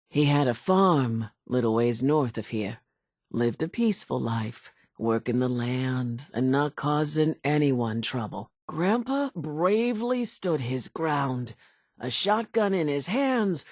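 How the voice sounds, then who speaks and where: A woman's voice: very deep voice, no echo, clean studio sound, full character voice. An actress playing an older island woman in a game, a folksy, dramatic storyteller who mourns her family and wants vengeance. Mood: ominous storytelling. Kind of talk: scripted game character dialogue, theatrical storytelling.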